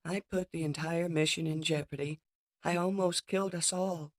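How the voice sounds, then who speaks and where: A woman's voice: fairly low pitch, steady tone, normal speed, dry recording, clean studio sound, lightly acted. A woman playing a loyal, remorseful robot warrior in a comic dub, speaking in an earnest, subdued way. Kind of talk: comic dub character acting.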